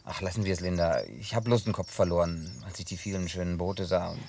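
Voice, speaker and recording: An actor dubbing a man who tells a long story in a laid-back way with gruff humor. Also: deep voice, steady tone, fast, a little mellow, slight background noise. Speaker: lead character in a dubbed film. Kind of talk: dubbed film acting.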